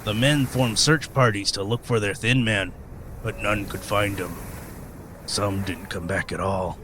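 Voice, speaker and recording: An actor playing a weathered, gruff old sailor in a radio play, telling sea tales in folksy, hesitant rustic speech. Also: male voice, lowish voice, steady tone, unhurried, noisy background, close to an everyday voice. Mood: grave, ominous.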